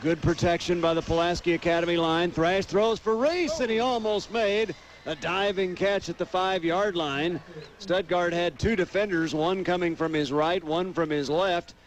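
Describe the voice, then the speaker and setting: A man's voice: high-pitched, normal speed. An American man doing live radio play-by-play commentary of a football game.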